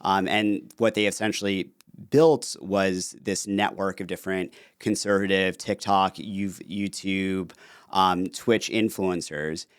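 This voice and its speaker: A man talking casually as a podcast guest, quick and animated with lots of fillers. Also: sits low, clean tone, dry recording, studio quality. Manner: fast, animated talker with many fillers. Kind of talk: casual interview conversation.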